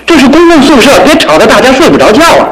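A man's voice: very high-pitched, sing-song, fast, over a noisy crowd. An actor playing a bookish young bachelor in a radio drama, grumbling at his roommates with dry, mocking scolding. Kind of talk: Mandarin radio drama acting. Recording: roomy old broadcast with background babble.

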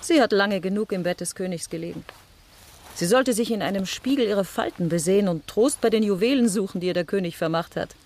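An actress playing a witty, worldly marquise of middle age in a German radio drama, with a poised, coquettish, dryly ironic manner. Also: female voice, medium pitch, a little fast, darkish tone, lightly acted. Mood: sardonic. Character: witty, worldly French marquise, aging beauty living in convent.

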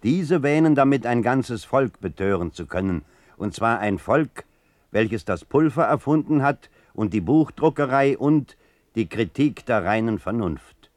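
An actor playing a solemn, indignant reader of a political manifesto, declaiming with measured rhetorical emphasis in a radio play. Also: male voice, mid-range voice, expressive, a little mellow, no echo, natural voice.

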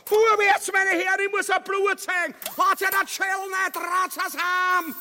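A man's voice: extremely high pitch, flat delivery, measured pace, pushed and bright, slight background noise, full character voice. An actor playing a cowardly, boastful, foul-mouthed tyrant king in a farcical radio play, with an Austrian accent and a pompous manner. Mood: frantic bellowing. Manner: bombastic, grotesquely pompous, cursing. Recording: reverberant radio play studio hall.

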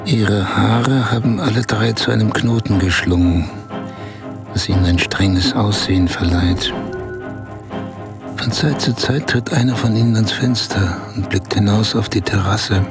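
Dreamy odd storyteller man, lowish voice, measured radio play monologue w music behind. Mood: recounting, absorbed. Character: Augusto, strange man recounting a dreamlike night of lavish pampering. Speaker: radio drama actor.

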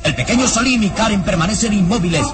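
A man's voice: high-pitched, animated, fast talker, loud music behind the voice. A man narrating a radio serial in a grandiose, suspenseful, dramatic scripted style.

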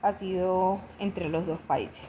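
A woman's voice: fairly low pitch, steady tone, dark tone, poor audio. Reflective young woman, faint noise. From Venezuela. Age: young adult. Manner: reflective, halting, with frequent restarts. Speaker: interviewee. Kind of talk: personal testimony interview.

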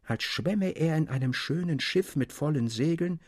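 Male narrator, literary audiobook, husky, very lively intonation, measured phrasing, dry studio sound. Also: a little high, no echo. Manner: measured, expressive, articulate.

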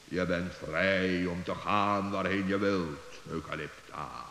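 Deep, slow, stately wizard. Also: male voice, sharp tone, heavily acted. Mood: solemn. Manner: solemn, measured. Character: stately, commanding male wizard of a castle. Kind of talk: children's radio play acting. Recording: hissy old recording, echoey hall reverb.

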